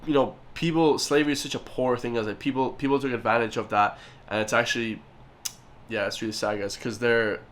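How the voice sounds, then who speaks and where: A man's voice: medium pitch, steady tone, brisk, a bit bright. Irish man, reaction host, chatty and emphatic with the camera audience. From Ireland. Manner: emphatic, keeps addressing viewers as guys. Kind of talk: casual reaction commentary.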